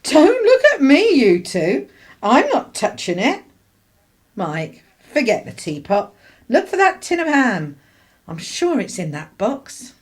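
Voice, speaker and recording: A woman playing a sharp, harried English mother of the 1950s, dryly sarcastic, in a radio play. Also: on the high side, wildly swooping pitch, slow pace, breathy edge, exaggerated voice. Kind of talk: British radio play acting and narration.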